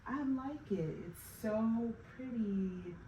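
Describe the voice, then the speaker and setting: A woman's voice: fairly high pitch, lively intonation, slow pace, dark tone. An actress playing a devout woman facing breast cancer, talking to herself in a questioning, praying way. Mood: cheerful. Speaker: woman awaiting a cancer consult. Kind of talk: inner-monologue acting. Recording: very echoey, distant, noisy.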